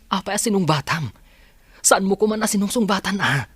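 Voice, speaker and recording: A man's voice: high voice, normal speed, a bit bright, slightly rough, no room sound. A man acting in an audio drama as a casual, teasing companion. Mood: teasing, questioning.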